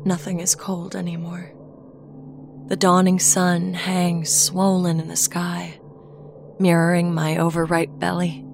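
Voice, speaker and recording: A woman reading a horror story aloud in a slow, hushed, even way. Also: fairly low pitch, background noise. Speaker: narrator. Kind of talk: scripted horror narration.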